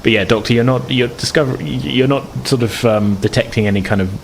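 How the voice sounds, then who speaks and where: A man's voice: mid-range voice, brisk, gravelly, slight background noise, low-quality recording. A man improvising casual narration as game master, explaining things in a rambling, hedging way.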